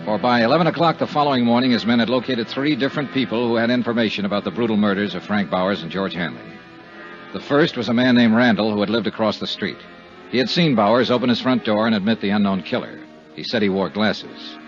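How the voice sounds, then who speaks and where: A tough, matter-of-fact American investigator played by a male actor with a fairly low voice. He speaks tersely in 1950s radio detective style, on a muffled vintage recording with music in places. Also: fast, natural voice. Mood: dry narrating. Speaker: actor playing lead investigator and narrator. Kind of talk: 1950s radio detective drama, narration and dialogue.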